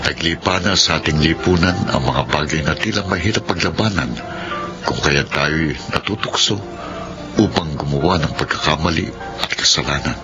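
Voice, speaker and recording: A lo-fi radio drama recording with music behind. a middle-aged Filipino father just out of prison, low in pitch, remorseful and fiercely protective of his family. He speaks with earnest, heartfelt melodrama. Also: normal speed. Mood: solemn, testifying. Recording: dull lo-fi broadcast, loud music behind.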